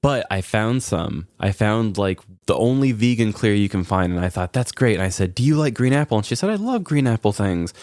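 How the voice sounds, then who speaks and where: A man's voice: fairly low pitch, big pitch swings, a little fast, few pauses, darkish tone, dry recording, studio quality. An American man co-hosting a podcast, telling stories informally with mock exasperation and acted-out quotes. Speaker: podcast co-host. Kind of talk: casual conversational storytelling.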